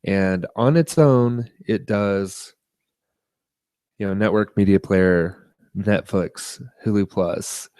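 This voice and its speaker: Low-voiced man chatting casually, dry sound. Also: takes it slowly, a little mellow, clear, resonant tone, dry recording. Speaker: podcast co-host. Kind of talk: casual chat about tech and media.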